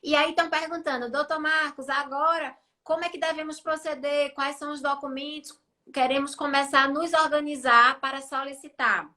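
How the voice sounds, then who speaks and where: A woman's voice: sits high, steady tone, moderate pace, pushed and bright. A woman hosting a live stream, reading out viewers' questions to her guest and acknowledging answers briefly.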